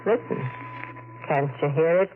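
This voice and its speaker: A woman's voice: deep voice, unhurried, a little hiss or hum. An actress playing an anxious, pleading servant woman with a conscience, in 1940s radio thriller style. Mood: nervous. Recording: tinny, phone-like 1940s radio recording.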